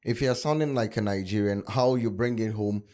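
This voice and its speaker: A man's voice: fairly low pitch, a little fast, a little mellow. Man explaining firmly. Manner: explaining at length, firm. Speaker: panel guest. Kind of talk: casual panel debate. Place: studio with woven cloth backdrop. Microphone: studio mic on a stand.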